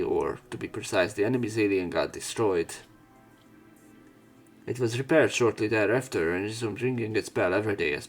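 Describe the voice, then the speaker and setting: Low-voiced man reading a visual novel. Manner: casual, jokey asides between read lines. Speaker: let's play narrator. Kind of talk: reading visual novel text aloud with commentary.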